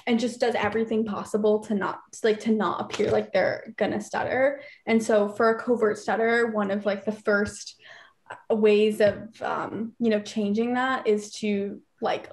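A young woman on a video call from her bedroom, speaking with many fillers and occasional stutters. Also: medium pitch. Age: young adult. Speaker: teen advisory council member. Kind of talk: casual panel discussion on video call. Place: bedroom at home, indoors. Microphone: laptop webcam on video call.

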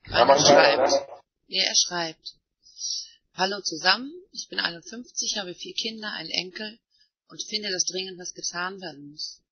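A man moderating an online voice chat, reading participants' messages aloud in a plain, unhurried way. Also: high voice. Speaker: meeting moderator. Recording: dull, muffled online voice chat.

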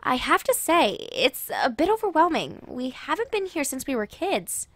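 Strained, scolding mom for audio drama, female actor, high-pitched voice. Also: big pitch swings, normal speed, fairly bright tone, dry recording. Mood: hesitant. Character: strained, scolding mother with teenage children.

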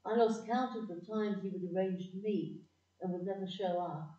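A woman's voice: medium pitch, steady tone, normal speed, warm tone, natural voice. A woman playing a wistful narrator looking back in scripted audio-drama narration. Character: wistful woman narrator remembering a troubled young love. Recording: dull, echoey, distant microphone.

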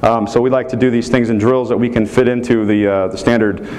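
A man's voice: medium pitch, steady tone, fairly quick, darkish tone. A man in his thirties giving a live football technique clinic, explaining each move as he demonstrates it in a hotel meeting room. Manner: explanatory, walks through moves while demonstrating.

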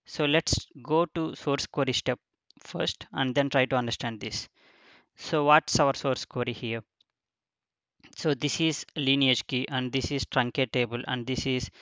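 A man giving a screen-recorded software tutorial, explaining each step with frequent fillers and restarts. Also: medium pitch, gravelly, no room sound, lo-fi sound. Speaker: instructor.

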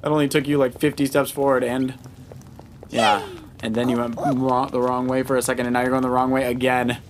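A man's voice: medium pitch, level tone, fairly quick, noisy background. A man, middle-aged, giving casual let's play commentary in a playful, coaching way as a father guiding his child. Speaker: father guiding child through a video game.

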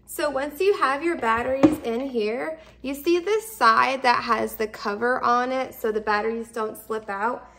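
A woman's voice: on the high side, creaky, fairly bright tone. A woman in her thirties giving a casual step-by-step product demonstration at home, explaining simply and repeating instructions as she goes. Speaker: product reviewer. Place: indoors, open kitchen and dining room at home.

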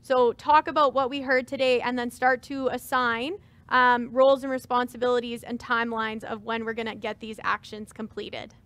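A woman's voice: a little high, fairly even intonation, normal speed, a bit bright. A woman in her thirties on a webinar panel, giving earnest advice about planning with many fillers. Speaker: farm transition advisor. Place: stage with dark curtains.

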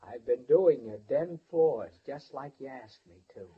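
A man's voice: medium pitch, expressive, phone-quality sound, lightly acted. Actor as a menacing, paranoid radio-thriller man. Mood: taunting. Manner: slow, controlled, menacing. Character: menacing, paranoid handyman holding a woman captive. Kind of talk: 1940s-50s radio thriller acting. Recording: noisy old-time radio recording.

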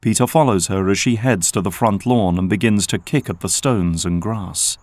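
A man's voice: lowish voice, moderate pace, darkish tone, dry recording. A man delivering scripted narration for an audio drama, as its narrator.

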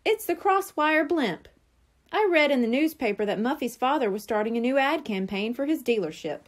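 A woman's voice: high voice, lively intonation, moderate pace. A woman voicing a brainy, condescending young boy in a storybook, explaining things precisely and patiently. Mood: know-it-all. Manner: precise, explanatory, know-it-all.

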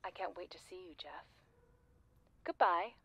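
A woman's voice: on the low side, measured pace, breathy edge, no room sound. An actress playing a lonely, needy woman in an audio play, wistful and pointed as she clings to an old school friend. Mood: insistent. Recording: slightly dull, dry recording.

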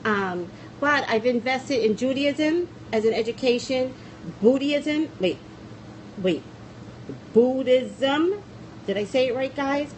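A woman's voice: high-pitched, lively intonation, slow pace, a little hiss or hum, rough recording. Chatty woman rambling on a phone stream. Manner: rambling and chatty, often trailing off and restarting. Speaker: psychic livestream host. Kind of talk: casual livestream chat. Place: small indoor room against a plain wall. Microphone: phone camera at arm's length.

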